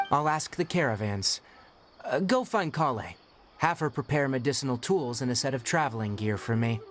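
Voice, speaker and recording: An actor voicing a young male forest ranger and healer in a video game, calm and matter-of-fact with a light teasing edge. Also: mid-range voice, noisy background, natural voice. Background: game music.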